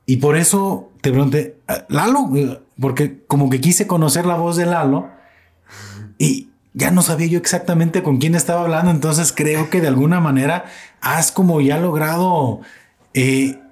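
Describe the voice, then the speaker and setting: A man's voice: on the high side, normal speed, darkish tone. A young man from Mexico hosting a casual podcast chat, talkative and fond of trying out accents. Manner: chatty, playfully imitates accents. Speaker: podcast host.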